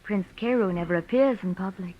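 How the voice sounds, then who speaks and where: On a muffled vintage radio recording, an actress plays a cool femme fatale. She speaks at an average pitch with coy, poised control. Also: brisk, slight background noise, close to an everyday voice. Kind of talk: 1940s radio acting. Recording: dull old radio recording.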